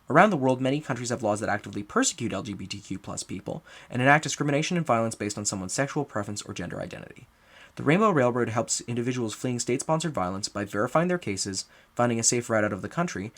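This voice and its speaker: A man's voice: mid-range voice, a bit bright. A man reading a scripted introduction and announcements as the writer and director of a show.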